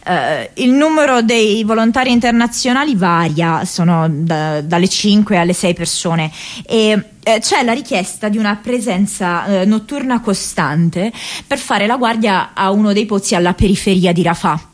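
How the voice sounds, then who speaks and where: A woman's voice: medium pitch, normal speed, lightly acted. A woman playing an earnest, troubled young American activist in a radio theatre diary monologue, hesitant and searching for words. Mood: grave, weary.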